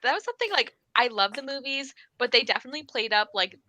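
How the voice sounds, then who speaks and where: A woman talking casually about books as a guest, in an animated, giggly manner. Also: a little high, quick pace, no echo. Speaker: guest panelist. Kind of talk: casual book discussion. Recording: clear background noise, clicks.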